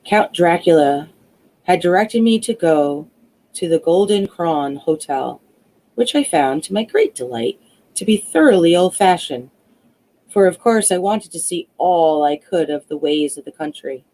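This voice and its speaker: A woman reading a classic novel aloud as an audiobook narrator, expressive but a little halting in places. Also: fairly low pitch, rough recording. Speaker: audiobook reader.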